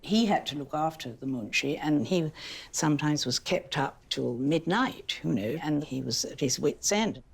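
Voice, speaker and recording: A woman talks conversationally as a documentary expert. Her voice is on the low side and gravelly, with lively rises and falls. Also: moderate pace. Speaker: interviewed historian. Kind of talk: conversational interview.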